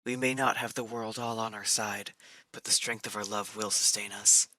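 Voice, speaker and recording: An actor playing a devoted, pleading lover in a Shakespearean-style monologue, speaking earnestly and formally. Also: male voice, fairly low pitch, fairly even intonation, normal speed, pushed and bright, no echo. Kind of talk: poetic dramatic monologue.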